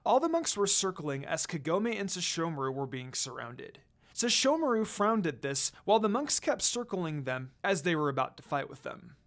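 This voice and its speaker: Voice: medium pitch, sing-song, normal speed. A fan fiction reader narrating a story in a dramatic reading style.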